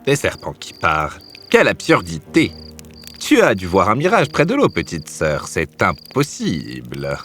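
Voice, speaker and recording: Animated, skeptical big brother, male actor. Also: sits low, unhurried, music in the background, full character voice. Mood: scoffing. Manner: dismissive, emphatic. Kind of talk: fairy-tale cartoon acting.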